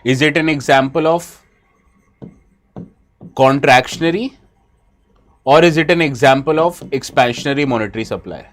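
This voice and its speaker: A man's voice: mid-range voice, normal speed, pauses often, faint music behind. A man with an Indian English accent, who has a bad cold, gives a live exam-prep lecture and keeps asking his students questions. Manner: asks students questions, switches briefly into Hindi.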